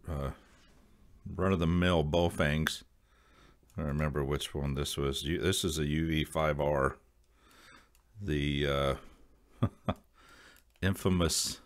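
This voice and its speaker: A man talking casually to viewers as a video host, explaining things in a rambling, halting way. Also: rumbling low.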